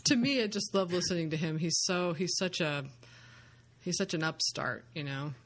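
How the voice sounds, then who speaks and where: A woman's voice: on the low side, moderate pace, slight background noise. A woman hosting a podcast, chatting casually and enthusiastically, rambling with many fillers and restarts. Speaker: podcast host.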